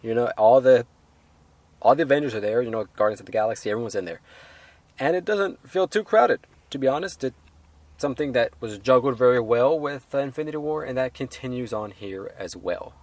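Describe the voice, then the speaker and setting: A man reviewing a film in a casual, unscripted way, halting and rambling as he hedges his points. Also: medium pitch, darkish tone, dry recording.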